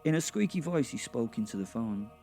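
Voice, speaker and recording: A man narrating a first-person horror comedy story with a theatrical, relishing manner and dramatic pauses. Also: medium pitch, animated, warm tone, soft background music. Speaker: narrator and host.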